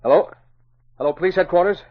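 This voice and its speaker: An actor playing an anxious, crooked American stockbroker in a 1940s radio melodrama, agitated and husky. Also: male voice, high voice, fast talker, natural voice. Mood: urgent. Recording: muffled old radio recording.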